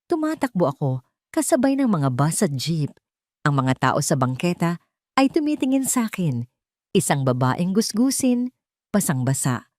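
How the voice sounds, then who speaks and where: A woman's voice: on the low side, a little mellow, no room sound, clean studio sound. A woman with a Filipino accent narrating a dramatic first-person story in an emotive storytelling manner.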